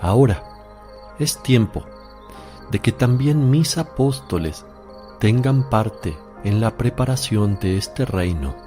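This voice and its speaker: An actor playing a calm, authoritative holy teacher with a solemn, measured delivery in a religious radio drama. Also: male voice, lowish voice, faint music behind. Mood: solemn, declamatory. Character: Jesus, calm authoritative teacher addressing disciples.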